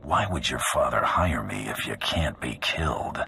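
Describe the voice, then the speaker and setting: An actor playing a terse, deadpan male bounty hunter in a video game. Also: very deep voice, moderate pace, creaky, faint music behind, exaggerated voice.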